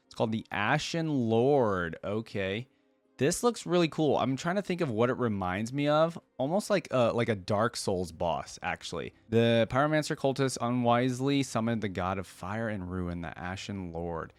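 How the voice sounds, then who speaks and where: A man's voice: mid-range voice, animated, moderate pace, no echo. A man giving casual reaction commentary as host, thinking aloud with some hesitation. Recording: music playing behind the voice.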